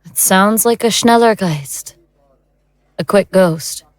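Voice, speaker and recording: A woman's voice: mid-range voice, takes it slowly, no room sound, lightly acted. A woman playing a soothing, decisive investigator of the occult in an audio drama. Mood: calm, decisive.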